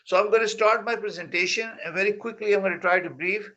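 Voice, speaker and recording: A man hosting an online real estate conference over a video call, talking in a halting way with repeated words. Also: high voice, bright, forceful tone. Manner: halting, repeats words, non-native phrasing. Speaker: host and founder of the conference. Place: Mississauga near Toronto.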